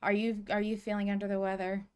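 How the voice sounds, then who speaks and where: A woman's voice: mid-range voice, level tone, fast talker, smooth. A woman hosting a podcast in a casual, conversational style. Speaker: podcast host.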